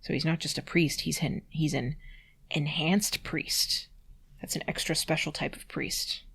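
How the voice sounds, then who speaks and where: A mid-pitched reader, sex unclear, reading prose aloud and adding incredulous, nitpicking, giggly asides. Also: steady tone, unhurried, gravelly, pushed and bright, breathy edge. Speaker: amateur reader doing a read-aloud with commentary.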